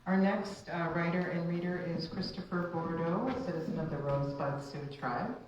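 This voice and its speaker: A woman's voice: on the low side, normal speed. A woman speaking at a live community reading as a reader and introducer. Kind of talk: reading a personal story aloud, informal introductions. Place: large echoey indoor room at a live reading. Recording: very echoey room, distant dull mic, hiss.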